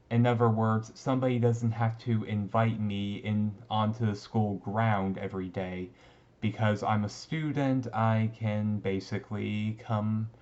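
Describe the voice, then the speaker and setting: A man playing an easygoing, resigned teenage vampire classmate in a casual, confiding ASMR roleplay, talking haltingly. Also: lowish voice, darkish tone, muffled recording. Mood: casual, amused. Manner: halting, conversational, mildly resigned. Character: brooding, easygoing male teenage vampire classmate, secretly thirty-seven.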